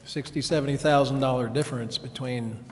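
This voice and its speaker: A man's voice: mid-range voice, steady tone, fairly quick, slight background noise. A man speaking as a council member, asking questions in a public meeting in a reverberant hall.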